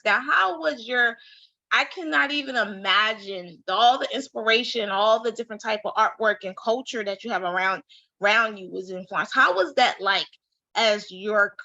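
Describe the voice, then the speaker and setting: A woman hosts an interview with a bright, forceful tone and lively enthusiasm. Her voice sounds dull and lo-fi, recorded dry with no room echo. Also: medium pitch. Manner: enthusiastic, exclamatory reactions. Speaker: show host. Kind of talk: upbeat interview hosting.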